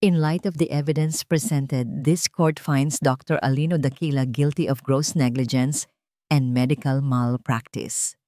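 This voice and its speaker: Woman as a solemn judge, low pitch. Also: steady tone, warm tone, dry recording, studio quality. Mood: grave. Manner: formal, measured. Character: solemn courtroom judge pronouncing a verdict.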